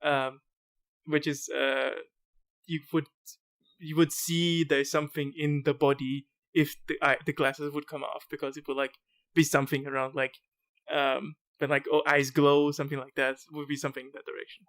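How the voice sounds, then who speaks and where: Rambling, halting man, slightly high voice. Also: little pitch movement, fairly bright tone. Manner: rambling, halting, lots of fillers and restarts. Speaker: podcast host. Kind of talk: casual podcast interview.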